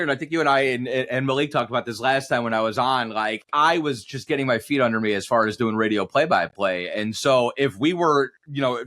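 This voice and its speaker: A man's voice: medium pitch, fairly bright tone. A man who works as a sports radio announcer, talking reflectively in a conversational interview and often circling back over his phrases.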